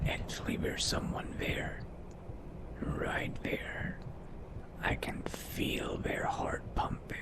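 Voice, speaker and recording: A man voicing a wary, hungry undead vampire in a game roleplay, muttering to himself in a breathy, gravelly whisper. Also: extremely low pitch, full character voice. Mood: hungry, curious. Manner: slow, hushed, muttering to himself. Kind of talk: in-character video game roleplay narration.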